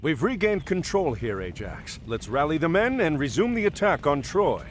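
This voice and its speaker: An actor playing a proud, resolute Atlantean admiral in a video game, speaking in a firm, commanding way. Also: male voice, fairly high pitch, very animated, moderate pace, background noise. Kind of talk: video game cutscene acting.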